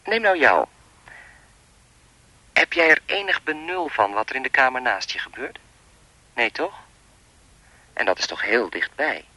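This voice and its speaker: Menacing, sharp-voiced male phone stalker. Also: mid-range voice, big pitch swings, a little slow, pauses often, no room sound, heavily acted, low-quality recording. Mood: taunting, controlled. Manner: insinuating, mock-polite, rambling. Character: menacing male anonymous caller, chatty, lewd, taunting stalker. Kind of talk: radio play acting.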